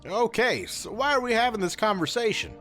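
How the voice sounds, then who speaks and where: A man plays a brash young masked hero in an audio drama, casual and sarcastic, with a lively, bouncing delivery. Faint music sits under the scene. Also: high-pitched. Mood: bewildered. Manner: sarcastic, casual, quick to swear. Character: cocky young male masked rider hero.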